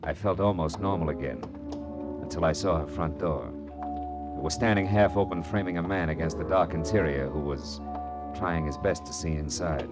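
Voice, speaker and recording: An actor playing a curt, sardonic private detective in a 1940s hardboiled radio drama, with a clipped, commanding, wisecracking delivery. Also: male voice, extremely low pitch, moderate pace, loud music behind the voice. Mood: wry, narrating. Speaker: detective and narrator.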